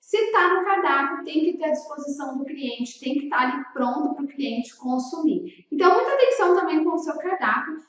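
A Brazilian woman with a high voice presents an instructional vlog in an emphatic, didactic way. She sounds set back from the microphone, and the room rings with heavy echo. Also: animated, a little fast, hardly any pauses, a bit bright. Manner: emphatic, didactic, with rhetorical questions. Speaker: food-service consultant and video host. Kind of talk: instructional vlog addressed to restaurant owners. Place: small indoor room with bookshelf. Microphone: large microphone with pop filter in front of her. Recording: echoey room, distant, slightly dull sound.

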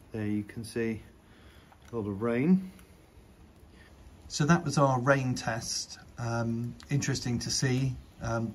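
A man giving a casual, informal demo commentary as a drone reviewer, thinking aloud hesitantly. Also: medium pitch. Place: outdoors on a garden patio in rain. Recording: outdoor recording with clear background noise.

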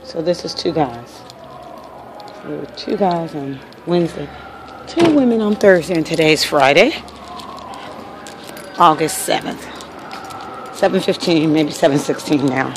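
A woman's voice: deep voice, expressive, a little slow, long pauses. A woman from Los Angeles with a Californian accent, narrating a casual handheld video as an aggrieved local resident in a matter-of-fact way. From Los Angeles, United States. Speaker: local resident documenting a noise problem. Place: mostly outdoors walking along apartment alley. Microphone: handheld phone. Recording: noisy outdoor phone recording, street noise.

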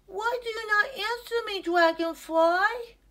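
Lady reading a kids story doing a squeaky little hippo boy, gentle and polite. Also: measured pace. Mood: puzzled. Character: gentle young male hippo, sad, polite.

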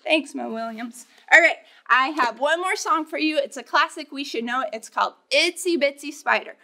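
A young American woman, a children's librarian, leading storytime in an animated, encouraging way, talking directly to small children. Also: sits high, moderate pace, background noise. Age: young adult. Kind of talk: children's storytime presentation and read-aloud. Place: indoors in a library, seated before bookshelves.

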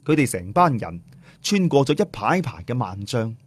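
A man's voice: mid-range voice, big pitch swings, moderate pace, slightly rough, no echo, soft background music. A man with a Cantonese accent narrating an audiobook story in a dramatic, scripted storytelling style.

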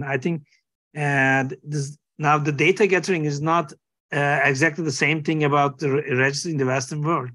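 A middle-aged man, haltingly searching for words as a panelist on a video call. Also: fairly high pitch, fairly bright tone. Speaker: physician panelist. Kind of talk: conversational panel discussion. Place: small book-lined room, video call.

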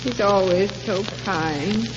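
An actress playing a frail, confused invalid wife, hesitant and faltering, in 1930s radio acting style. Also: female voice, mid-range voice, takes it slowly, natural voice. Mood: dazed, hesitant. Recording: muffled, hissy vintage radio recording.

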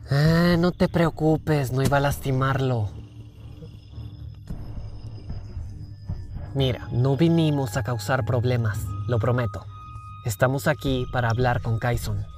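Confident animal chieftain for animation, male actor, medium pitch, easygoing salesman manner, slightly smug, music underneath. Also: fairly even intonation, moderate pace, a little mellow, close to an everyday voice. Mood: calm, unbothered. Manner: casual, persuasive, faintly smug. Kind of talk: animated fan dub acting.

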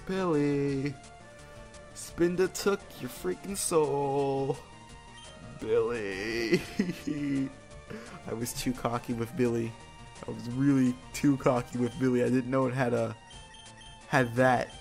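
Male gaming host, mid-pitched, casual and self-mocking with light swearing, game music underneath. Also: fairly even intonation, slow pace, gravelly, pauses often, darkish tone. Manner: jokey, self-deprecating, informal with mild swearing. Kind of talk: casual gameplay commentary. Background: video game music.

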